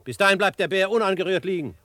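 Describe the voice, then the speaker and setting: A man's voice: sits high, quick pace, sharp tone, no room sound. Plucky, lighter-voiced young frontiersman. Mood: cool, firm. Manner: frank, firm. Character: plucky young frontier newcomer, frank and self-assured. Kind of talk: German radio drama acting.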